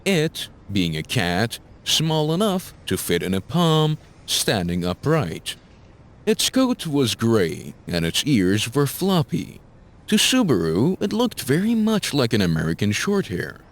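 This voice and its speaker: A man's voice: medium pitch, wildly swooping pitch, slow. A man narrating a scripted fantasy story as a dramatic audiobook-style narrator.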